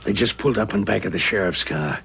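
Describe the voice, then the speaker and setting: A man playing an edgy, bossy small-time crook in a radio drama, gruff and curt. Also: on the low side, fast talker, fairly bright tone. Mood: alarmed, curt. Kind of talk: 1970s radio drama acting. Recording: noisy 1970s radio drama recording.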